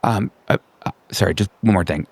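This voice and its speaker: A man's voice: low voice, fairly quick, creaky, husky, dry recording, exaggerated voice. A man playing an awkward, worried suburban neighbor in his thirties in a tabletop game, hesitant and rambling. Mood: nervous, worried.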